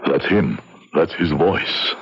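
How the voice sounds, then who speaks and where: An actor playing a bitter, despairing failed doctor in an old-fashioned radio horror drama, with a brooding, theatrical, sardonic delivery. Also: male voice, very deep voice, takes it slowly, noisy background. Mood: bitter, accusing. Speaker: narrator and lead character. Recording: dull lo-fi radio drama recording.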